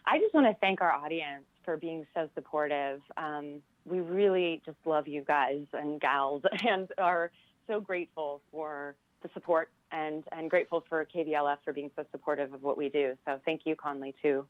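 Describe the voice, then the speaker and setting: A woman's voice: deep voice, moderate pace, no room sound, narrow, tinny audio. An American woman speaking earnestly and reflectively as a guest in a radio interview, over a band-limited line. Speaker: theater professor, interview guest.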